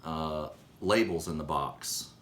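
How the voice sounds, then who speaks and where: A man casually telling rambling anecdotes in conversation, halting and correcting himself as he goes. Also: very deep voice, a bit bright, a little hiss or hum. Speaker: storyteller recalling his own childhood.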